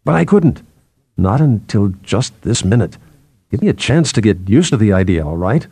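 Man as a mellow, proud newspaperman. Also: on the low side, big pitch swings, normal speed, breathy edge, no echo, pitched below the performer's own voice, full character voice. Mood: indignant, shaken. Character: proud, weary male newspaperman, uneasy yet stubborn.

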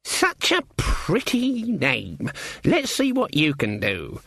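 A man's voice: on the high side, huge pitch swings, slow, gravelly, a bit bright, slightly rough, no room sound, pitched above the performer's own voice, heavily acted. A man voicing a stern, sardonic old village witch woman in an audiobook, brusque and scornful, with folksy speech. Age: older.

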